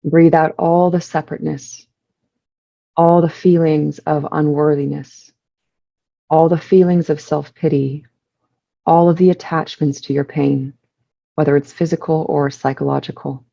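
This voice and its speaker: A woman leading a guided meditation, giving soothing instructions in measured short phrases with pauses between them. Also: deep voice, little pitch movement, gravelly, mellow, dull audio.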